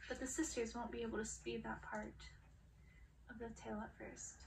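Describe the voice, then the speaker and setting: A woman reads a dark fairy-tale story aloud in ASMR style, as a dramatic storyteller who draws out words and slips toward whispers. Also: fairly high pitch, flat delivery, normal speed, gravelly. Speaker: audiobook reader. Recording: distant mic with faint noise.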